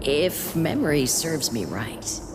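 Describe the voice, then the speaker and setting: Arrogant, cornered corporate director for a sci-fi game: low female voice, widely swinging delivery, haughty and defiant. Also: big pitch swings, noisy background, heavily acted. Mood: smug, persuasive. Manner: haughty, drawn-out, emphatic. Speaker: video game voice actor. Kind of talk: dramatic cinematic game acting. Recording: long hall reverb under loud music.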